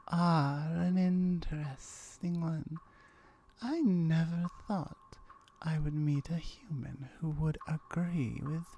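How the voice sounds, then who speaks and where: Aloof female gorgon for ASMR roleplay, played by a woman: very slow drawn-out murmur, dark mellow tone, slyly flirtatious. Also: lowish voice, slight background noise.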